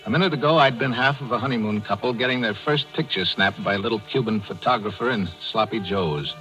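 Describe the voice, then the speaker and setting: A man's voice: fairly low pitch, fast, bright, forceful tone, poor audio. An actor playing a tough, hard-boiled American man in trouble, terse and slangy, in an old radio noir drama. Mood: grim, tense. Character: hard-boiled American driver framed for murder. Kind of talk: 1940s radio noir narration and acting. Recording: old radio broadcast with music.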